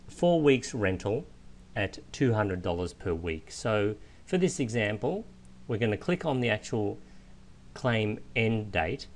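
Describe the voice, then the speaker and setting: A man giving an informal, step-by-step software walkthrough, explaining as a screencast instructor. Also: on the low side. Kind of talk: step-by-step screencast tutorial narration.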